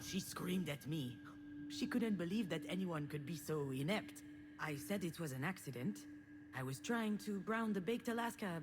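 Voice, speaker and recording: A woman's voice: lowish voice, background noise, lightly acted. A woman playing a self-deprecating, wistful adult daughter, narrating her family memories in a reflective, conversational first-person voice for a visual novel. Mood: rueful. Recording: prominent music under the voice. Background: loud music.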